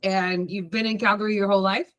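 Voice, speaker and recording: A woman's voice: mid-range voice, steady tone, fast, fairly bright tone. A woman host interviewing a guest, giving brief prompts and agreeing noises. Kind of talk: interview questions.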